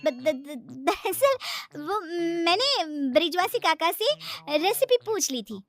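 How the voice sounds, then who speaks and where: A woman's voice: very high voice, sing-song, slow, fairly bright tone, exaggerated voice. A woman voicing a cunning young daughter-in-law, a sugary and theatrical schemer in a moral story cartoon. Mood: sugary, evasive.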